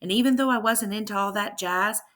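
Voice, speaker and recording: A woman's voice: medium pitch, a little fast, fairly bright tone. A woman teacher reading a verse story aloud with rhythmic, emphatic delivery and brief explanations.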